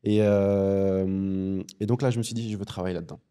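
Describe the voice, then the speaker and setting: A man in his thirties from Belgium, a podcast guest talking casually in a studio, halting with many fillers. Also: sits low, fairly even intonation, dark tone, clear, resonant tone. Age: 30s. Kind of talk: casual interview chat.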